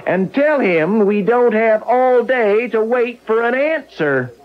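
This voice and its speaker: A man playing a smooth-talking, evasive con-man husband, wheedling in a folksy, stammering way in a radio drama. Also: very high-pitched, expressive, takes it slowly, heavily acted. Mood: blustering. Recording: muffled old radio drama recording.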